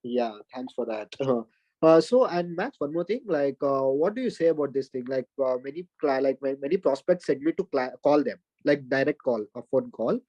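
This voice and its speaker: A man with an Indian accent asking questions on a group video call, halting with many fillers and restarts. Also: mid-range voice, lively intonation, warm tone, dry recording, highs cut off. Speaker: caller in a coaching group.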